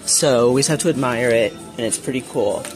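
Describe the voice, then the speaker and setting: A woman vlogging as she shops in a big home goods store, chatting casually to viewers with frequent gasps and excited exclamations. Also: extremely low pitch, normal speed, over music, low-quality recording. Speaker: shopping vlogger. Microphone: phone camera held while shopping.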